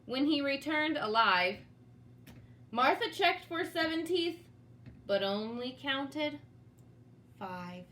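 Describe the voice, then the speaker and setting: A woman's voice: high-pitched, lively intonation, takes it slowly, pauses often, fairly bright tone. A woman reading a storybook aloud for children in a dramatic, teacherly manner, with asides to young listeners. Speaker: storybook reader. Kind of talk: expressive read-aloud for children.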